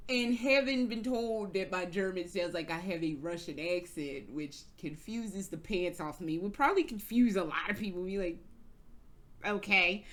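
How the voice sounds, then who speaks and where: A woman's voice: medium pitch, a bit bright. Casting: American woman vlogger, casual and rambling, swears freely, lots of "like"s, restarts sentences. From United States. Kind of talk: casual storytelling vlog. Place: indoors, travelling in Germany.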